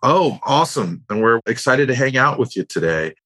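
Man, husky voice, medium pitch, podcast host, informal, encouraging, conversational questioning. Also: expressive, moderate pace, a bit bright.

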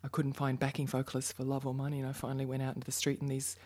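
A woman's voice: a bass voice, very fast, slightly rough. An Australian woman, a poet and musician, talking as an interview guest, reflective and full of stories. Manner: reflective, storytelling, lively asides. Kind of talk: casual interview chat.

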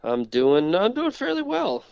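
A man in his forties talking as a podcast guest over a phone on speaker, halting often with filler pauses. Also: sits high, wildly swooping pitch, vocal fry, darkish tone, clear, resonant tone, no echo. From United States. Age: 40s. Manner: hesitant, many filler pauses. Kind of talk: casual chat. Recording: dull lo-fi phone call.

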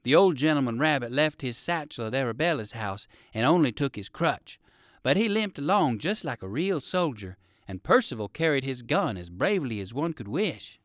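A man narrating a children's story in an expressive, dramatic manner. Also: medium pitch, normal speed, dry recording, studio quality.

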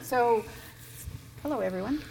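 A woman with a low voice interviewing an author in front of an audience, chatty and hesitant with many fillers. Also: animated, unhurried, vocal fry, very noisy. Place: event space with an audience.

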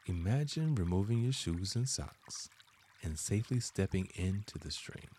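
A man narrating a scripted guided meditation as host, in measured, deliberate phrases with frequent pauses. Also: deep voice, lively intonation, warm tone, slight background noise.

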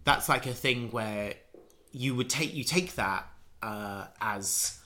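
A man's voice: mid-range voice, takes it slowly, pushed and bright. A man in casual conversation, musing at length with many restarts and fillers. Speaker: conversation partner.

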